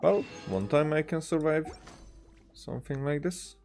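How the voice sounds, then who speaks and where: A man's voice: on the high side, animated, unhurried, darkish tone, faint music behind. A man doing casual live game commentary, hesitant and thinking aloud as he goes. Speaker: video game streamer.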